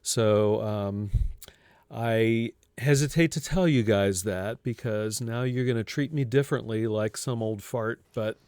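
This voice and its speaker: A man's voice: lowish voice, a little slow, darkish tone, smooth, no room sound. A man in his early sixties talking casually as an interview guest, chatty and self-deprecating. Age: early 60s. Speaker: podcast guest, ambient guitarist.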